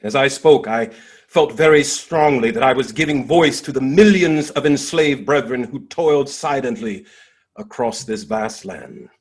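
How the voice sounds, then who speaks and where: A man acting as a dignified nineteenth-century abolitionist orator with a husky voice. He delivers a stage monologue in stately, impassioned phrases that swell and fall away, set off by deliberate pauses. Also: mid-range voice, expressive. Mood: proud, reflective. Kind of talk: dramatic monologue, theatrical oratory.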